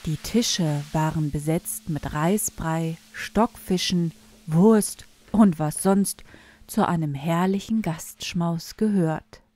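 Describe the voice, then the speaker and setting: A woman's voice: deep voice, slow, dark tone. A woman reading a fairy tale as a scripted storyteller.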